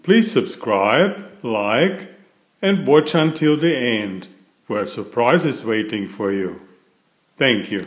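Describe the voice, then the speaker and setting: Slow, expressive man reading a picture book. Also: mid-range voice, echoey room. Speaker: picture book reader and author. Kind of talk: slow read-aloud storytelling for young children.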